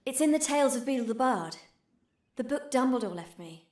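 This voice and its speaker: A woman's voice: high-pitched, animated, fairly quick, a little hiss or hum. A young woman with a British accent playing an earnest, exasperated, bookish and bossy teenage witch in a video game cutscene. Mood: solemn storytelling. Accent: British English. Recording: loud background music under voice. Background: music.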